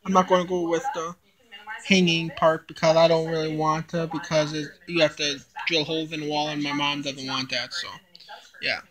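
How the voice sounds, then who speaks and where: A young man giving a casual unboxing demonstration, explaining things in a halting, repetitive way into a handheld camera. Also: a little high, little pitch movement, a bit bright, low-quality recording. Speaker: hobbyist video maker. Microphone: handheld camera, no tripod.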